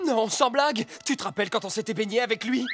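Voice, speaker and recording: A man voicing a wily, coaxing animal trickster in a French cartoon, with an animated delivery. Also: extremely high pitch, fast talker. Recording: music behind the voice.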